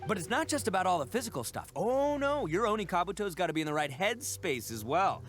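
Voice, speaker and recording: A young oni braggart from a video game, bright and punchy in tone. His pitch leaps around wildly as he talks, chummy and theatrical, full of cocky, childlike enthusiasm. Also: very animated, fast talker, faint music behind. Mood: enthusiastic, boastful. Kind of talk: animated video game voice acting.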